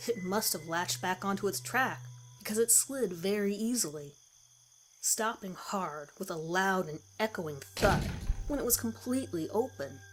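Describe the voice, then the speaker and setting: A woman's voice: mid-range voice, a little hiss or hum, low-quality recording. A woman reading a scripted first-person horror story as its narrator. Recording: music bed under the voice. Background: background music.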